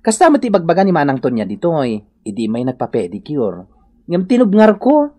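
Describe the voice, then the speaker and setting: A man's voice: a little high, wildly swooping pitch, brisk, warm tone. A man with a northern Philippine accent playing an easygoing, camp hairdresser in a comedy radio drama. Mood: dismissive.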